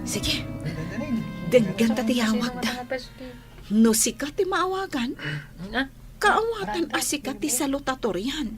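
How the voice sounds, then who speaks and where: High-voiced excitable Ilocano schoolgirl. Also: takes it slowly, pauses often, music in the background. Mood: teasing, assured. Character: excitable, supportive teenage girl classmate. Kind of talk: radio drama acting.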